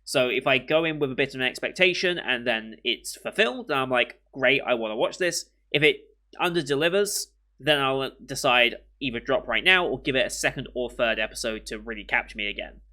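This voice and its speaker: A young British man hosting an anime reaction channel, chatting casually and rambling with many pauses and restarts, a bit sniffly from a cold. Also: mid-range voice, bright, forceful tone. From Britain. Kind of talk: casual chat to camera.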